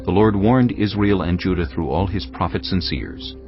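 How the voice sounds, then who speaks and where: A male narrator reading scripture in a measured, grave storytelling manner. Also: deep voice. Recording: dull lo-fi sound over cinematic music. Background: cinematic music.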